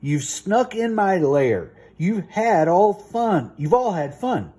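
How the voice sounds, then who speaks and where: A man's voice: sits high, sing-song, slow, dark tone, slightly rough, voice pushed up, exaggerated voice. A man voicing a big storybook bear who complains about being left out, in a whiny way. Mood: sulky. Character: big sulky bear woken from sleep.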